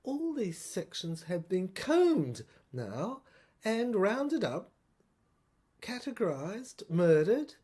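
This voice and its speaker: A woman playing a slow, husky, troubled soldier. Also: mid-range voice, dark tone, exaggerated voice. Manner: halting, broken phrases with deliberate emphasis and repetition. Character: troubled infantry soldier piecing together a secret government document. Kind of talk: dramatic first-person monologue, audio drama narration.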